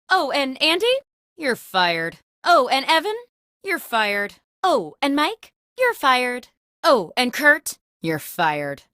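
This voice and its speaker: A woman's voice: high-pitched, dry recording, clean studio sound, full character voice. A woman playing a peppy, quirky office boss who hosts a comedic video game with mock-corporate patter. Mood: breezy, blunt. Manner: animated, mock-corporate comic delivery. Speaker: video game host character. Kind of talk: scripted comedic game show hosting.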